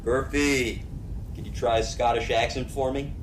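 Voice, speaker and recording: A man's voice: steady tone, moderate pace, natural voice. A man playing a brash, reckless leader of a space crew, blunt and commanding, in an amateur sci-fi comedy audio drama. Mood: scheming, cajoling. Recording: echoey room, clear rumbling background noise.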